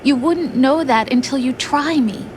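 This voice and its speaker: A high-voiced, tender, worried girlfriend. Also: a little slow, noisy background. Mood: disbelieving. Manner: gentle, pleading, emotional. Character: tender, worried young woman consoling the man she loves. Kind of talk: dramatic video game cutscene acting. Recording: music behind the voice.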